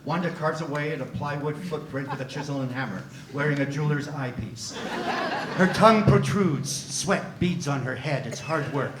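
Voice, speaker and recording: Guy reading stage directions, slightly high voice, echoey hall, noisy. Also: moderate pace.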